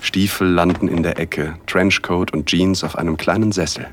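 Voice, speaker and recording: A man narrating a first-person erotic audio drama in a hushed, intimate, unhurried way, with a husky voice. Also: sits low, fairly even intonation, a little hiss or hum. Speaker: narrator and male lead.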